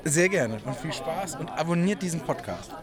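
A man's voice: a little high, expressive, moderate pace. A man chatting casually as an interviewee. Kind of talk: casual chat. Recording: loud crowd noise at event.

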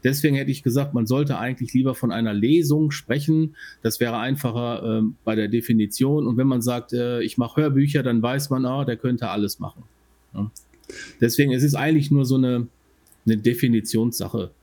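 A man's voice: mid-range voice, fairly quick, warm tone. A man with a German accent co-hosting a podcast from his living room, explaining things in casual conversation. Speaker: podcast co-host.

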